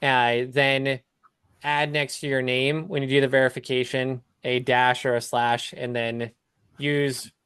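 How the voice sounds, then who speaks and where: Guy coach on a call explaining stuff casually, clean smooth voice, dry sound. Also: medium pitch, level tone, unhurried, a bit bright, no room sound. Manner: casual, explanatory, walking through examples. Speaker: business coach hosting a client call.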